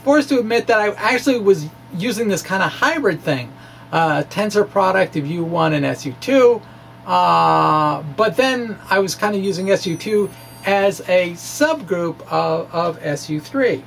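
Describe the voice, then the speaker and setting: High-pitched man giving an informal physics lecture. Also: gravelly, slight background noise. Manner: animated emphasis, halting with stammered restarts. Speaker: amateur physicist presenting his own theory. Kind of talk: informal physics lecture, reading of a blog talk.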